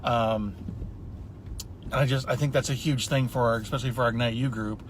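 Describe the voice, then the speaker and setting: A man's voice: mid-range voice, steady tone, brisk, slight background noise. A man hosting a casual interview, chatty and enthusiastic, heaping praise on his guests. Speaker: host.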